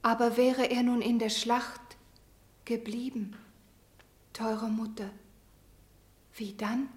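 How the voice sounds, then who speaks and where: An actress playing a gentle, fearful young Roman wife in a classical radio drama, soft and breathy. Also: female voice, a little high, little pitch movement, slow pace. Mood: anxious.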